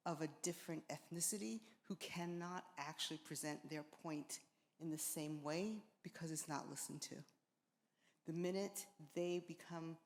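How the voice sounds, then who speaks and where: An older woman, a veteran nurse, giving measured personal remarks to an audience. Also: sits low. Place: large indoor hall at a live event. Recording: echoey hall with long reverb.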